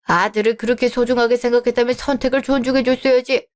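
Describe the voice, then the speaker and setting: A woman's voice: fairly high pitch, fairly even intonation, quick pace, close to an everyday voice. A woman voicing a stern, wealthy middle-aged father who scolds coldly in a radio drama. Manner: cold, scolding.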